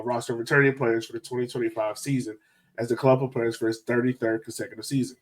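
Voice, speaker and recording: A man's voice: mid-range voice, rough recording. A man hosting a sports podcast in a casual, chatty style. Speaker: podcast host.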